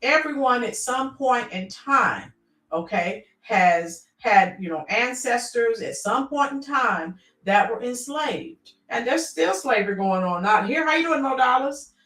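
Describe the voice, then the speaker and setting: A woman's voice: on the high side, expressive, a little slow, a bit bright, roomy sound, recorded from a distance, poor audio. A middle-aged woman hosting a casual livestream, reading out viewer comments and rambling with many fillers.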